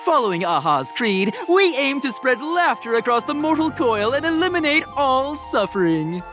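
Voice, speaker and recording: Syrupy cult recruiter. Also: female voice, sits high, big pitch swings, moderate pace. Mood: gushing. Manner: gushing, syrupy, preachy. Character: sweet-talking female cult recruiter, theatrical and pious. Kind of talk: game dialogue voice acting. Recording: studio voice with game music behind.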